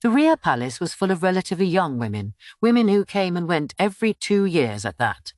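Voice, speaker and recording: A woman's voice: fairly low pitch, sing-song, fairly quick, a little mellow, no echo, clean studio sound. A woman reading scripted story narration for an audiobook.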